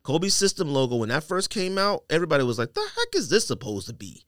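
A man's voice: fairly high pitch, huge pitch swings, fast, fairly bright tone, no echo, clean studio sound. A man in casual podcast banter, emphatic and argumentative, repeating himself to drive a point home. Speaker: podcast co-host. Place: podcast studio.

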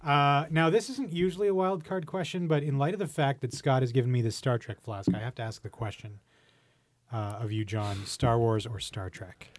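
Male podcast host, mid-pitched, jokey and teasing, casual interviewer, very dry close sound. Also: moderate pace, no room sound. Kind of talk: casual question-and-answer banter.

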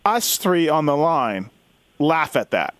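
Male podcast host, higher-pitched, loose joking banter about racing, recorded dry and close. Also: very animated, takes it slowly, a bit bright, no room sound. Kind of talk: casual sports banter.

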